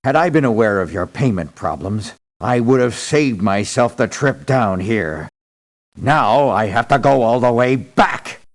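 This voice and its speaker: An actor playing a self-important, stern mercenary doctor in a video game, speaking in a haughty, formal, deliberate way. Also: male voice, medium pitch, animated. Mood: indignant. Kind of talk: video game character voice lines.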